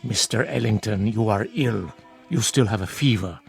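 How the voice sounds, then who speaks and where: An actor playing a stern, evasive religious brother in a television drama, speaking in a formal, measured, commanding way. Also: male voice, fairly low pitch, creaky, breathy edge, soft background music, exaggerated voice. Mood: calm denial. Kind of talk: dramatic television-style acting.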